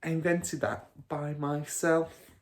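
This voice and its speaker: A man voicing a boastful, bullying car-dealer father in a storybook, loud and scornful. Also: on the high side, level tone, slow pace, pitched above the performer's own voice.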